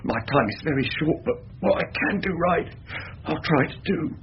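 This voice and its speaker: High wavering-pitched male, weak dying man full of remorse, old radio drama, dull hissy recording. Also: lively intonation, normal speed, vocal fry. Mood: weak, urgent. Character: dying, remorseful grown son on his deathbed.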